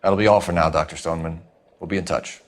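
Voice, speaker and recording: A man's voice: deep voice, fairly even intonation, fast talker, soft background music. An actor playing an earnest young male police detective in a video game, talking in a clipped, formal, businesslike way.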